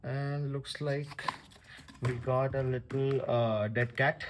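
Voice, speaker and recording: A man giving casual, halting commentary as a vlogger unboxing a product. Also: mid-range voice, steady tone, slight background noise, low-quality recording.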